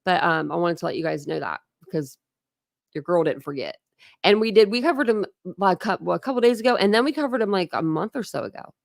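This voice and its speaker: A woman's voice: on the low side, animated, fast, gravelly, no room sound, studio quality. An American woman hosting a true crime livestream, chatting casually in slangy, mildly cursing speech. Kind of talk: casual live chat.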